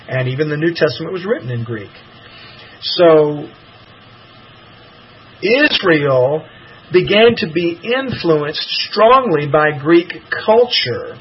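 A man's voice: fairly high pitch, a little hiss or hum. A man giving informal Bible teaching in a rambling, hedging way, with many fillers and restarts, on a dull lo-fi radio broadcast.

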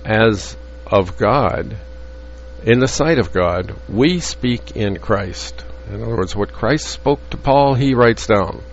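A man teaching from the Bible in an earnest, halting way, with frequent restarts and fillers. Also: medium pitch. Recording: dull lo-fi audio with faint hum.

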